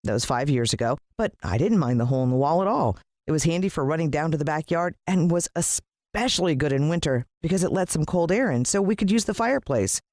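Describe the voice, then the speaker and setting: American woman, children's audiobook narrator, very lively intonation, dry close sound, dramatic storytelling. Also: low voice, quick pace, hardly any pauses, no room sound.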